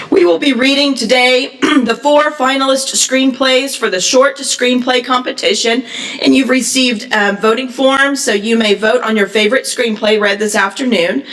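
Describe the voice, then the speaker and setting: High-voiced woman reading in an echoey hall. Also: a bit bright, far from the mic. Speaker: announcer and stage-direction reader. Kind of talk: live event announcing and scripted screenplay narration. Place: live festival table read, echoing room.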